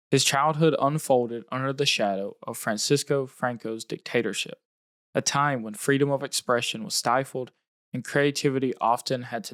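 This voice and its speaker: A man hosting a podcast, reading scripted narration in a measured storytelling way. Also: medium pitch, a bit bright, no echo, clean studio sound.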